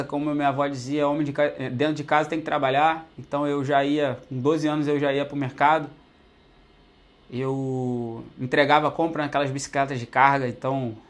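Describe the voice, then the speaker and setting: A young man from Brazil telling his own life story to the camera in a casual, conversational way, often tagging sentences with "né". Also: mid-range voice. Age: young adult. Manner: conversational, many fillers like "né" and "pô", addresses viewers directly.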